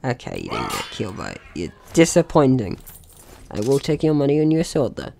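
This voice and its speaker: A man's voice: mid-range voice, huge pitch swings, a little fast, vocal fry, dark tone, slight background noise. A man giving casual gameplay commentary, chatting through his own actions in short remarks. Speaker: gaming video host.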